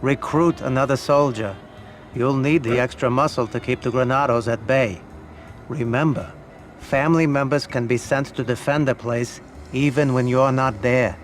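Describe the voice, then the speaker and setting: An actor playing an elderly, shrewd and calm mob financier in a video game cutscene, speaking in a measured, fatherly, instructive way. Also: mid-range voice, faint music behind, close to an everyday voice. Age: older.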